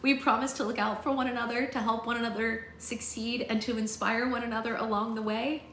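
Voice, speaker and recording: A woman's voice: a little high, flat delivery, a bit bright, voice pushed up. Woman voicing an earnest girl leader, echoey room. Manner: earnest, measured, rallying. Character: earnest, encouraging girl leader at a witch academy. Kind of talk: storybook character dialogue. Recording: roomy echo, faint background noise.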